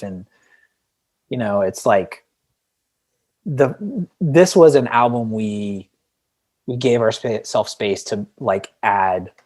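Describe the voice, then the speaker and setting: A man speaking as an interview guest in a casual, rambling and reflective way, with a self-deprecating streak. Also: on the low side. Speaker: podcast guest, indie band frontman.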